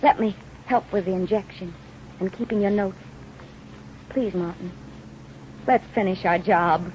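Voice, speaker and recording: An actress playing a brave, self-effacing, devoted wife and assistant to a doctor in an old-time radio drama. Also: female voice, medium pitch, measured pace, darkish tone, natural voice. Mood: pleading. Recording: muffled, faintly noisy vintage radio recording.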